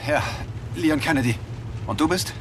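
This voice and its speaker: An actor playing a practical, protective young rookie cop in an audio drama. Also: male voice, a little high, expressive, moderate pace, noisy background, natural voice. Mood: guarded, friendly.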